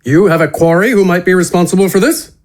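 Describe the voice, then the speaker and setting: A man voicing a stern, gruff city guard captain who speaks in a curt, commanding way in a fantasy audio story. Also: high-pitched, quick pace, pitched above the performer's own voice, close to an everyday voice. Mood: incredulous, curt.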